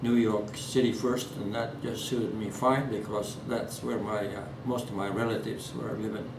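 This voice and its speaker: Old man, Norwegian accent, low-pitched voice, halting with restarts, roomy home recording, hiss behind. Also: level tone, vocal fry. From Norway. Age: 80s. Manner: halting, many fillers and restarts. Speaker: interviewee. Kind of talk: oral history interview. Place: living room at home. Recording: echoey room, distant mic, background hiss.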